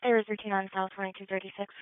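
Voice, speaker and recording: A woman's voice: mid-range voice, level tone, rapid-fire, clear, resonant tone, dry recording. A woman working as a police dispatcher, reading out details procedurally over a radio scanner channel. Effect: radio transmission. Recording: tinny narrow-band radio scanner.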